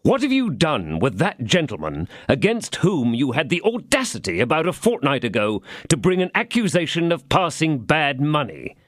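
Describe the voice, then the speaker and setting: A man's voice: fairly high pitch, very animated, moderate pace, few pauses, no room sound, studio quality, pitched above the performer's own voice. A man voicing a stern, threatening young swordsman who demands answers.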